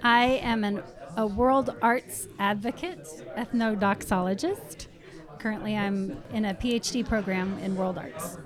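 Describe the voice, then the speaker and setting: A woman doctoral student speaking slowly and deliberately. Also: mid-range voice, fairly even intonation. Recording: distant from the mic.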